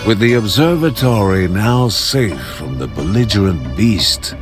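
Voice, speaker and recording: A man playing a solemn storyteller, narrating a fantasy game like a storybook legend in measured, scripted phrases. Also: fairly low pitch, lively intonation. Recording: music behind the voice. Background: music.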